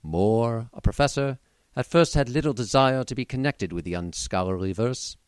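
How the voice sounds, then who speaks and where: A man's voice: medium pitch, moderate pace, smooth, no echo, clean studio sound. A man giving a formal, literate scripted radio introduction as the announcer.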